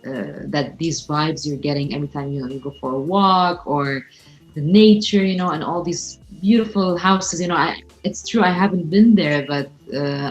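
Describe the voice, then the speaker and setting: Lower-pitched woman hosting over a call. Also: rough recording. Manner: upbeat, many fillers. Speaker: livestream host. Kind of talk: casual interview chat. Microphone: video call from home.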